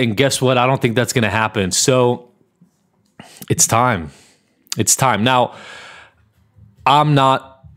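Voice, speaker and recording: A man's voice: medium pitch, fairly bright tone. A man from Israel hosting a podcast, talking bluntly and emphatically in a solo monologue, with frequent swearing. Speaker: podcast host.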